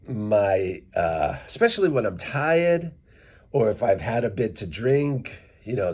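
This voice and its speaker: A man's voice: medium pitch, darkish tone. A middle-aged man from Worcester, Massachusetts, with a light local accent, explaining English sounds casually to his viewers and spelling out words step by step. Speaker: English teacher vlogger. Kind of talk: casual teaching talk to camera.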